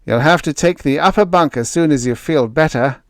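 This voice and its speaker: A man reading an audiobook, voicing a big, hearty spaceman in his forties with a booming, blunt, slangy way of talking. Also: moderate pace, no echo, clean studio sound, close to an everyday voice. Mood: breezy, blunt. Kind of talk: audiobook character voice.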